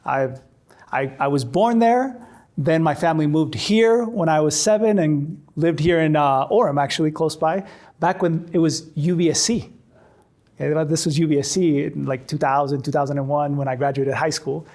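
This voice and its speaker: A man in his forties, from Chile, talks to a room of faculty about storytelling in a slightly high, easygoing voice. He speaks conversationally and often doubles words as he restarts a phrase. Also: moderate pace, a little mellow. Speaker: storytelling workshop trainer. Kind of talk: workshop talk to faculty. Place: classroom with a live audience.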